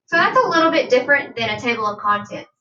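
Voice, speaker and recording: A woman reading a nonfiction children's book aloud like a teacher, deliberate and instructive, with questions to her young listeners. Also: fairly high pitch, lively intonation, sharp tone. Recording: dull, roomy sound, distant from mic.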